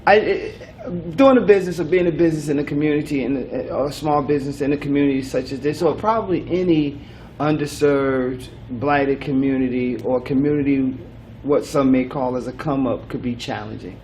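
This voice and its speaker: A man's voice: medium pitch, a little fast, a little mellow, a little hiss or hum, poor audio. A man hosting a local business talk show, talking in a loose, rambling way with many restarts. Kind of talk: casual interview chat.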